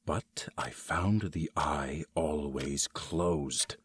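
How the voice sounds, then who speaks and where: A man performing a nervous, mad murderer who insists he is sane, in a theatrical, gleefully cunning gothic horror reading with drawn-out emphasis. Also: a bass voice, slightly rough, dry recording, clean studio sound, pitched below the performer's own voice, full character voice. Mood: sly, hushed. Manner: theatrical, drawn-out emphasis, swinging from hushed to fervent.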